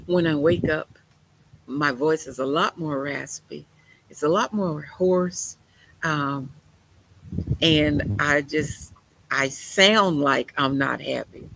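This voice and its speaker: A woman's voice: low-pitched, slow pace, vocal fry, long pauses, fairly bright tone, a little hiss or hum, rough recording. A woman preaching a sermon in an emphatic, conversational way, with folksy turns of phrase.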